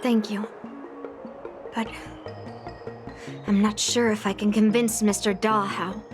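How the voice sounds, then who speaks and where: A woman's voice: mid-range voice, little pitch movement, slow, lightly acted. An actress voicing a bold, confident young swordswoman in a game cutscene, blunt and a little teasing. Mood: determined. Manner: blunt, self-assured, teasing. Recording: game audio with clear music behind.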